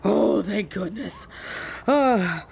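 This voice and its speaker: A woman playing a cocky, smitten female werewolf in an audio roleplay, teasing and flirting in a deadpan way. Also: mid-range voice, very slow, vocal fry, slight background noise, exaggerated voice. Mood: flustered. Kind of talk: scripted audio roleplay acting.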